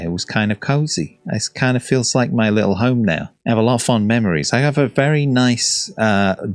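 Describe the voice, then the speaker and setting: Deep-voiced man casually reacting to games. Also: no echo. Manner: rambling, easygoing, chuckling. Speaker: host reacting to game trailers.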